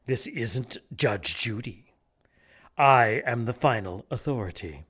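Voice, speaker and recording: A menacing, pompous zealot inquisitor. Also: male voice, mid-range voice, expressive, slow pace, a bit bright, slightly rough, heavily acted. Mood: commanding. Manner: formal, gloating, threatening. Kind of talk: audio drama acting.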